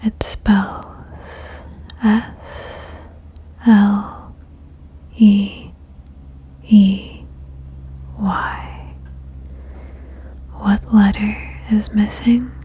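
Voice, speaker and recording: A woman whispering a guided ASMR meditation in a breathy, soothing way, with gentle instructions and long pauses. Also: mid-range voice, level tone. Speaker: ASMR host guiding a relaxation game.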